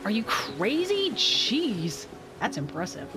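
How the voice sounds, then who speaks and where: A woman's voice: mid-range voice, wildly swooping pitch, slow pace. A woman streaming a game live, thinking aloud as she plays and answering her chat. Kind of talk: casual live gameplay commentary. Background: game music.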